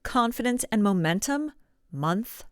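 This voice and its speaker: A woman hosting an instructional video as a voice coach, conversational, encouraging and clearly articulated. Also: on the high side, animated, measured pace, no room sound, studio quality. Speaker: voice actor and coach, video host. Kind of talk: friendly instructional pitch to camera.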